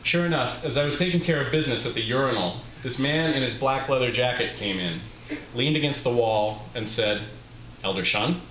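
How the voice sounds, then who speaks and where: A middle-aged man reading a humorous personal story aloud at a live event, with a wry, deliberate storytelling delivery. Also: mid-range voice. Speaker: author reading his memoir aloud. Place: small bar with brick walls, live reading. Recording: roomy live bar recording, faint background noise.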